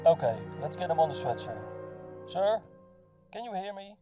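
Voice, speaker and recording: A man's voice: high-pitched, sing-song, moderate pace, highs cut off, over music. An actor playing an alert male medic taking charge in a radio play. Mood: brisk, concerned.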